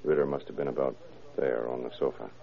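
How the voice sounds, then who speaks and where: A very deep-voiced male detective, shrewd and commanding. He speaks in dry, clipped, sarcastic lines. The sound is a narrow, muffled vintage radio recording. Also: little pitch movement, quick pace, gravelly. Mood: hushed, intent. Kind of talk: old-time radio detective acting.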